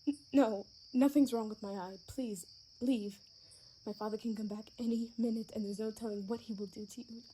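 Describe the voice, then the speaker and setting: Woman acting young female vampire, gentle and sheltered, highish mellow voice, hesitant, ASMR roleplay, crickets behind. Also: fairly even intonation, normal speed, lightly acted, low-quality recording. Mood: urgent, pleading. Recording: faint background noise with chirping crickets.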